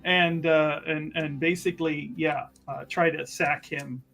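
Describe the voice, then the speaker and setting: A tabletop roleplaying player chatting excitedly and casually, agreeing repeatedly and often breaking into laughter. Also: medium pitch, measured pace, gravelly, soft background music. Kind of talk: casual excited table chat in an actual-play game.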